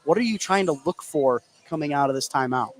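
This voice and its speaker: An American man doing excitable live play-by-play basketball commentary for radio, narrating the action in running phrases. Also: fairly high pitch, fairly quick, a little hiss or hum. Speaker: play-by-play sports announcer.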